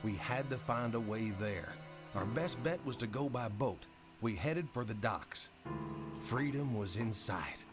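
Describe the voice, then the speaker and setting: An actor playing a weary male survivor of a zombie apocalypse, narrating his journey in a measured, somber way for a game cutscene. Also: medium pitch, animated, natural voice. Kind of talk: scripted first-person game cutscene narration. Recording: loud music behind the voice. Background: loud music.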